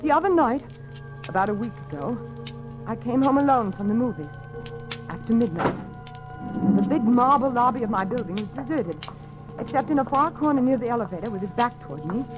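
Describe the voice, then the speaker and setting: An actress playing a frightened woman hunted by a killer in a 1940s radio drama, with breathless, emphatic, halting delivery. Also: on the high side, lightly acted. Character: frightened, agitated single woman hunted by her mother's murderer. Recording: vintage radio recording, faint music.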